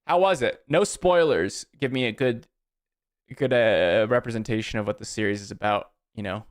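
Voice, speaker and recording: Male streamer, thirties, relaxed and talkative, reacts to chat while planning moves aloud. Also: lowish voice, moderate pace, clear, resonant tone. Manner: chatty, answers chat, thinks aloud about plays. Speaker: streamer playing a card game. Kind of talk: casual live-stream chat while playing.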